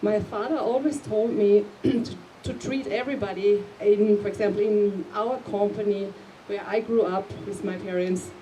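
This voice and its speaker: A woman's voice: mid-range voice, fairly even intonation, normal speed, hardly any pauses, dark tone. A woman from Austria speaking as a panel guest in a rambling, friendly way with fillers. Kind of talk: casual panel talk. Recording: distant mic, faint room noise.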